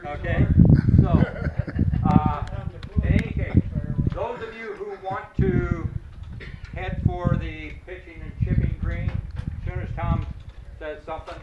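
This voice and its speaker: A man's voice: on the high side, lively intonation, reverberant, lo-fi sound. Man organizing a golf clinic, thin distant sound. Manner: plain, organizing, halting with fillers. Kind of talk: giving group instructions. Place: outdoors at a golf practice area. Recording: distant, phone-thin, noisy outdoor recording.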